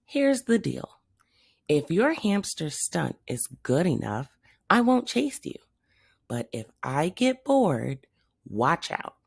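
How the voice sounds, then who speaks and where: A woman's voice: lowish voice, big pitch swings, slow, gravelly, slightly rough, dry recording, clean studio sound, full character voice. A woman voicing a mocking, menacing, easily bored male pet cat in a children's story. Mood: warning. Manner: scornful, threatening.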